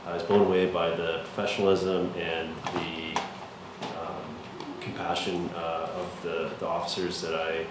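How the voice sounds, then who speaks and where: A man councillor giving a halting report at a meeting, full of ums and restarts. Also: deep voice, level tone. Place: council chamber. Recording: echoey council chamber, far mic, noisy.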